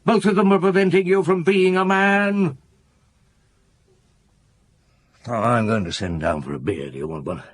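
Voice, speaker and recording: An actor playing a shrewd, relentless police chief inspector in a radio drama, probing and measured as he interrogates. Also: fairly high pitch, no room sound, close to an everyday voice. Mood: probing, scornful. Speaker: actor in lead detective role.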